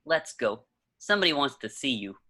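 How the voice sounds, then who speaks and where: A man's voice: medium pitch, very animated, fairly quick, bright, forceful tone, dry recording. A man playing a hangdog, weary police lieutenant in an amateur screenplay table read. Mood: weary, terse.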